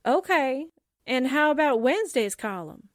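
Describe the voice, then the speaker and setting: Actress as wisecracking gal secretary, blunt and suspicious, high and lively pitched, close dry sound, vintage radio play. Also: female voice, moderate pace, no echo. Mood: brisk teasing. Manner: blunt, slangy. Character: sassy, suspicious, wisecracking female secretary sidekick. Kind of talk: 1940s radio drama acting.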